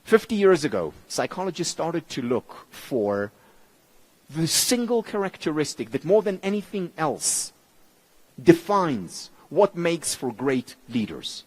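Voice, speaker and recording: A man whose mother tongue is Hebrew gives a motivational conference talk in English, with measured, emphatic phrasing and deliberate pauses. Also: breathy edge, low-quality recording. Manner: lively, expressive pitch, dropping low on key words. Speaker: keynote speaker.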